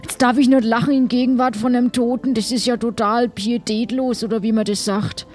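A woman playing a chatty, excitable crew member, colloquial and rambling, in an improvised role-play comedy. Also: fairly high pitch, fairly quick, lightly acted. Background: faint music.

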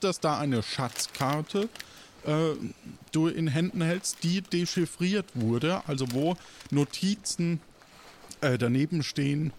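A man hosting an improvised audio play as game master, halting and often ending on tag questions. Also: on the high side, slight background noise.

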